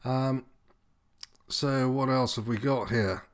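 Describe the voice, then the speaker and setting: A man's voice: mid-range voice, steady tone, slow. A man giving an informal, unscripted walkthrough as an instructor, explaining things in a slightly hesitant, meandering way. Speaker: sailing instructor presenting tutorial.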